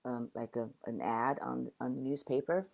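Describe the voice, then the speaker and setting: Older woman from Taiwan, very low voice, reflective storyteller. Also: fairly even intonation, moderate pace, gravelly, darkish tone. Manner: reflective storytelling with frequent restarts. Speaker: guest, dancer and actor. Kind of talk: conversational interview.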